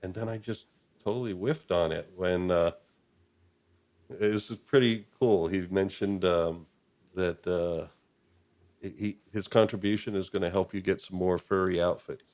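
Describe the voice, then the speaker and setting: A man's voice: sits low, steady tone, unhurried, darkish tone. A man hosting a live stream in casual chat, talking in a hesitant, rambling, appreciative way.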